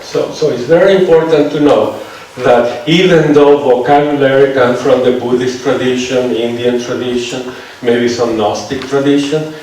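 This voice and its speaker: A man with a Venezuelan accent lecturing a seminar, talking in a loose, conversational way and tagging his points with "right?". His voice sits at a middle pitch. It is picked up from far off in a very echoey hall, with faint noise behind. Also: darkish tone. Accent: Venezuelan Spanish. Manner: conversational, checks on listeners with "right?". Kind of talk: lecture with audience questions.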